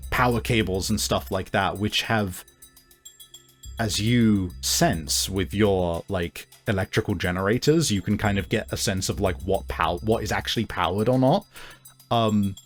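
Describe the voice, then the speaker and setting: A man improvising narration as game master of a tabletop game, in a halting, conversational way. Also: on the low side. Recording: music behind the voice. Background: music.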